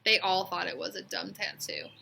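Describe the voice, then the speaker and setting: A woman reading a children's story aloud as a storyteller, in an expressive, animated way that suits acting out dialogue. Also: on the low side, moderate pace, creaky, a little hiss or hum.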